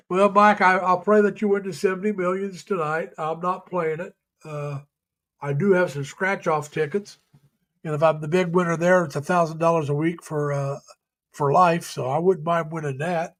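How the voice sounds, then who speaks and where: A middle-aged man hosting a casual live stream, chatting with viewers in a folksy, rambling way, laughing often and pausing on many uhs. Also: fairly high pitch, steady tone, a bit bright. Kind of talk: casual chat reading viewer comments. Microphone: home webcam or computer mic.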